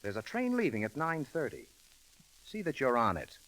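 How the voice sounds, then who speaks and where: An actor playing a curt, condescending employer who talks with smug dismissiveness in old radio drama style. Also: male voice, mid-range voice, wildly swooping pitch, normal speed, a bit bright, low-quality recording. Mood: smug, dismissive. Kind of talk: 1930s radio acting.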